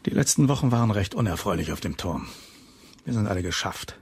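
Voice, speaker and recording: Weary gruff lighthouse chief. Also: male voice, on the low side, animated, fairly quick, no echo, full character voice. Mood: weary, irritated. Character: gruff, weary male head keeper of a lighthouse. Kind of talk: German radio crime drama acting.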